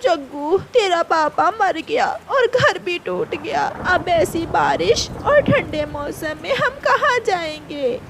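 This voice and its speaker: A woman's voice: very high voice, animated, takes it slowly, vocal fry, few pauses, noisy background, exaggerated voice. A woman voicing a fretful, complaining mother crow in a children's cartoon. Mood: distraught.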